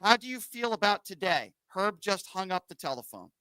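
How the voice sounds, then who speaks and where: A male radio host who argues hard with callers and needles them with sarcasm. His voice is bright and forceful and sits a little high. The sound is dry and close. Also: big pitch swings, moderate pace, smooth, dry recording. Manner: combative, sarcastic, talks over callers. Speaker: radio call-in show host. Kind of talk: political call-in radio talk.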